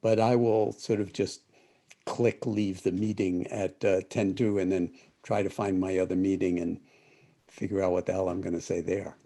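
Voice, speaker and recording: Older man, deep and mellow, meditation teacher on a video call, reflective and meandering. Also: flat delivery, normal speed. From United States. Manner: thoughtful, rambling with self-corrections. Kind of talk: live-streamed talk to an online group.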